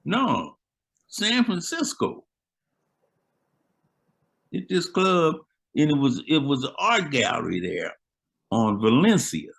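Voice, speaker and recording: A man's voice: a little high, big pitch swings, slow pace, creaky, long pauses, fairly bright tone. An older man, a musician guest, casually chatting and retelling old stories in a laid-back, slangy way. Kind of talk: casual chat, storytelling.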